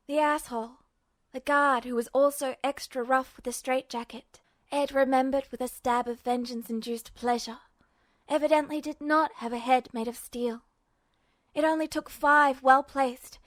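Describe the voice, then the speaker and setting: A woman's voice: high voice, fairly bright tone, no echo. A woman reading scripted fiction as an audiobook-style narrator. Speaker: podfic narrator.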